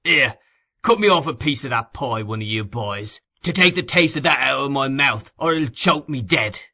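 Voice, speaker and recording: Man putting on a nasty bitter burglar, loud growly voice, bright and forceful. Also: medium pitch, animated, moderate pace, voice pushed up, exaggerated voice. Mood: angry. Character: brutal, surly male burglar, sick and bitter.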